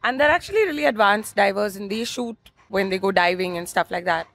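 A woman's voice: mid-range voice, fairly quick, fairly bright tone. A young woman from India, a stand-up comedian, chatting casually as a guest on an outdoor cafe patio, with plenty of fillers and self-deprecating asides. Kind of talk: casual interview chat.

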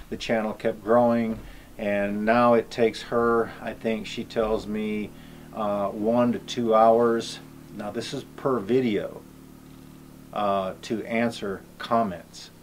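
A man's voice: fairly low pitch, slight background noise. A middle-aged man talking casually to camera on an outdoor cabin porch, rambling with many ums and uhs. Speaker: homestead YouTuber.

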